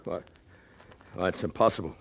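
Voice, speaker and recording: An actor playing a stunned soldier reporting battlefield losses in a 1950s radio drama. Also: male voice, low voice, moderate pace, vocal fry, fairly bright tone, a little hiss or hum. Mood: stunned disbelief.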